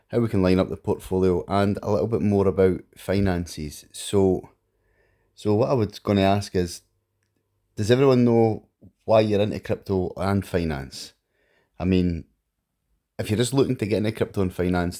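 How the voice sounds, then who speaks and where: Deep-voiced Scottish man explaining finances. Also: normal speed, warm tone. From Scotland. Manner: rambling, conversational, with restarts and fillers. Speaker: crypto channel host. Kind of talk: casual explainer monologue.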